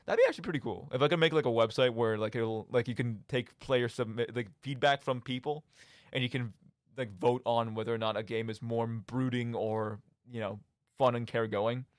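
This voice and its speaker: A man's voice: medium pitch, steady tone, fairly quick, no room sound, clean studio sound. A young man hosting a radio show, talking casually about video games in a rambling, jokey, self-deprecating way. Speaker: radio show and podcast host.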